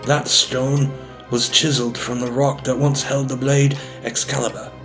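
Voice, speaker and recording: A man playing a measured, cautioning wizard in an audio drama. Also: mid-range voice, little pitch movement, over music, natural voice.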